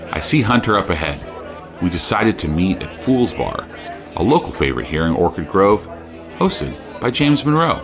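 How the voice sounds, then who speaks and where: An actor playing an earnest, probing true-crime podcast host, narrating and interviewing in a measured, serious way. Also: male voice, sits low, very animated, over music, a crowd in the background. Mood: uneasy, measured.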